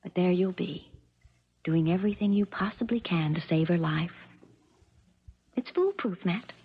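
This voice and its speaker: A husky young heiress in a muffled 1970s radio drama. She is spoiled and scheming, coaxing with cool self-assurance in a fairly low voice. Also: normal speed. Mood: cold, coaxing. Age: young adult. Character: spoiled, scheming rich young daughter, coldly persuasive. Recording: muffled vintage radio broadcast recording.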